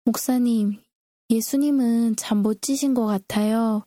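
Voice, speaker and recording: A woman's voice: a little high, fairly even intonation, a little slow, warm tone, no room sound. A woman speaking politely and reflectively as a co-host in a prepared-sounding Bible discussion. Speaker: co-host discussing scripture with a pastor.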